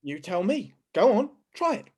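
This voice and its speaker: Bullying, exasperated sergeant played by a woman, gruff delivery, mid pitch, vintage radio sci-fi. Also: very animated, moderate pace, noisy background, close to an everyday voice. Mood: exasperated, hectoring. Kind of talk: 1950s old-time radio acting.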